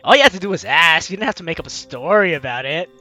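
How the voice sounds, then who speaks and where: A video game host with an animated, teasing, exclamatory way of talking, reading aloud and commenting playfully. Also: medium pitch, fast, soft background music. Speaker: let's-play video host.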